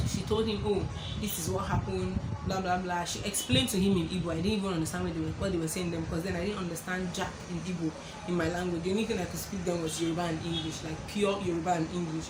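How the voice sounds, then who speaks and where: A young woman with a Nigerian accent, telling a personal story to her viewers in an animated way and acting out the voices of others. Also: lowish voice, quick pace. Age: young adult. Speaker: vlogger. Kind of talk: storytelling to camera. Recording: echoey room with background noise.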